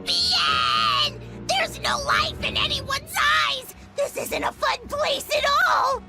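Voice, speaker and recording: An excitable little sprite girl in a game. The voice is extremely high and leaps around, childlike and theatrical. Loud music runs underneath. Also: slow, heavily acted. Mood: shocked, indignant. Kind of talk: animated game voice acting.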